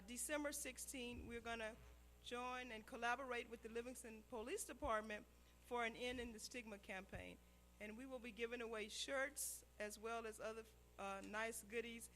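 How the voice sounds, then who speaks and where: A woman speaks earnestly and steadily to a town council about a public health campaign. Her voice sits a little high. A faint hum runs under the recording. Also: a bit bright. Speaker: county behavioral health staff presenter. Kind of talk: public comment at council meeting.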